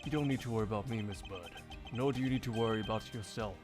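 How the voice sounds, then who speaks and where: A man voicing a cool, formal male prosecutor in a live one-man fan dub of a game, speaking in a low, measured, clipped way. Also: music in the background, lightly acted.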